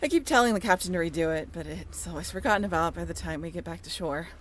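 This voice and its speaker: A woman's voice: fairly low pitch, quick pace, lightly acted. A woman playing a friendly, easygoing ship's crew member, chatting informally in a roleplay audio drama. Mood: friendly, amused.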